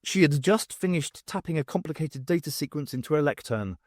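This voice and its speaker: A man reading a novel aloud as an audiobook, with expressive, dramatic narration. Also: a little high, fairly quick, no room sound, clean studio sound. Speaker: audiobook reader. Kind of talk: scripted fiction narration with acted dialogue.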